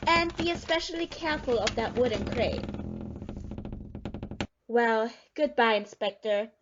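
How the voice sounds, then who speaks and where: A woman plays a composed lady with something to hide in an audio drama. Her voice is high, formal and emphatic, with an imperious edge. The recording is a little dull. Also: animated, unhurried, noisy background. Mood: gracious, composed. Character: composed, imperious lady traveller, secretly a murderous impostor. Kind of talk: amateur audio play acting.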